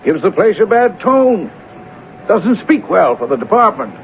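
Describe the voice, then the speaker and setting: Pompous crabby boss in old radio drama, high blustery voice, very up and down. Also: male voice, sing-song, unhurried, full character voice. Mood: fussy, disapproving. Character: crabby, pompous male senior official, blustering at his clerk. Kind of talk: 1970s radio drama acting. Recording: tinny, noisy old radio recording.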